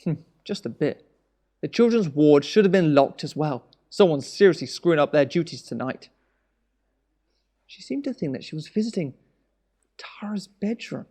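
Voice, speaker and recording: An actor playing a weary, exasperated British husband in a naturalistic audio drama. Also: male voice, a little high, animated, normal speed, pauses often, warm tone, breathy edge.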